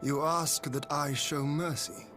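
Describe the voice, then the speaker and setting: A man's voice: on the high side, steady tone, slow, sharp tone, soft background music. An actor playing a stern but merciful nobleman, speaking with solemn, stately, archaic phrasing in a fantasy video game. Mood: solemn, judging. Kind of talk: dramatic video game voice acting.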